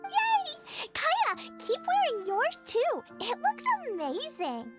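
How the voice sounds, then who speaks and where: A woman voicing a tiny, excitable childlike fairy companion in a video game, squeaky and cartoonish. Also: slow pace, full character voice. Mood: excited. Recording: loud background music over voice.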